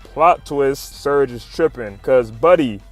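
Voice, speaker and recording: A man's voice: mid-range voice, takes it slowly. A man giving a casual, slangy commentary with swearing and fannish exclamations, retelling a story like a fan talking to friends. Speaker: YouTube comic recap narrator. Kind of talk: casual hype commentary, retelling comic fights. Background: music under the voice-over.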